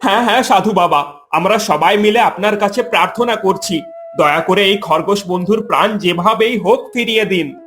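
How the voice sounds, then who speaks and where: A man voicing a humble, earnest forest animal in an animated moral story, respectful and pleading. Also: high voice, moderate pace.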